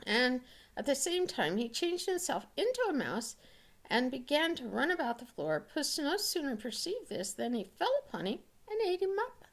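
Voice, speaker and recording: A woman reading a fairy tale aloud as an expressive storyteller. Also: high voice, normal speed.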